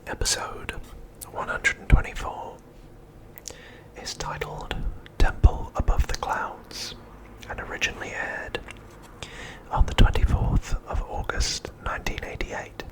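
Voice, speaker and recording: Slowly whispering man, ASMR narrator. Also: poor audio. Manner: soft, unhurried, deliberate phrasing with pauses. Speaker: host of an ASMR channel.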